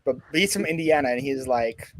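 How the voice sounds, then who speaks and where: A man's voice: medium pitch, lively intonation, brisk, dry recording. A man in his thirties from Ukraine with a Ukrainian accent tinged with Philadelphia, hosting a casual chat and joking and laughing at his own lines. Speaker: host.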